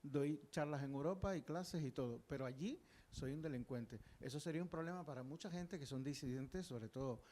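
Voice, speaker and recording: Earnest older man, higher voice. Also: a little fast, warm tone, slight background noise. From Cuba. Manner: earnest, discursive, many fillers and restarts. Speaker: audience member, historian. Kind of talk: public panel discussion.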